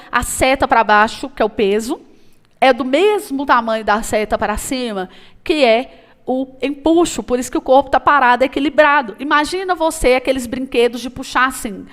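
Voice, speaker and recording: Chatty woman teaching physics. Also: on the high side. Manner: explanatory, repeats "tá?" and "beleza?", calls students "amiguinhos". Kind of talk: recorded math and physics lesson.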